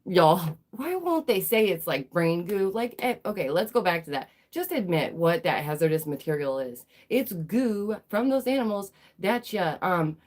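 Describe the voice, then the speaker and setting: A woman's voice: fairly low pitch, very animated, normal speed, hardly any pauses, darkish tone. A woman hosting a live news commentary stream, her voice nasal and stuffy as she gets over a cold. She talks emphatically, repeats phrases and fires off rhetorical questions. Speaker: livestream host.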